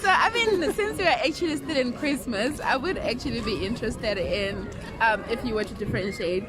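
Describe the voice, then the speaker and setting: A woman's voice: a little high, brisk. A woman from South Africa hosting a casual outdoor chat, giggly and full of fillers. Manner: giggly, filler-heavy, upbeat. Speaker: vlogger host. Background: crowd chatter and music.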